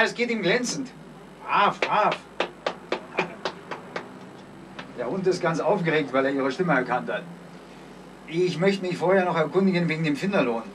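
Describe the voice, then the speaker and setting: An actor playing a brazen male swindler in a 1970s German radio drama, speaking with smooth politeness edged with menace. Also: fairly high pitch, a bit bright. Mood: slick, bargaining. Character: cool, brazen dog-snatching male swindler demanding ransom. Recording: slightly noisy, distant 1970s radio recording.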